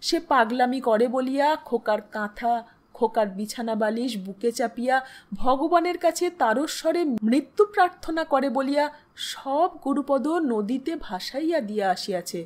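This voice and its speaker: Woman, high voice, story narrator, formal literary reading, expressive, measured delivery.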